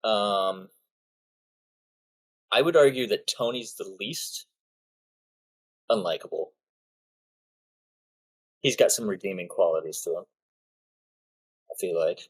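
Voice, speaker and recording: A man's voice: lowish voice, takes it slowly, long pauses, breathy edge, rough recording. A man co-hosting a podcast, chatting in an easygoing way with frequent hesitations. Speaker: podcast co-host. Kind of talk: casual chat.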